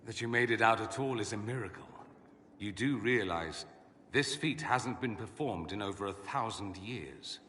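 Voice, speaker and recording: An actor playing a witty, self-assured mage scholar in a video game, with an arch, sardonic, eloquent way of talking. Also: male voice, fairly low pitch, normal speed, pushed and bright, slight background noise. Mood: concerned, urgent. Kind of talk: video game cutscene acting. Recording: long hall reverb.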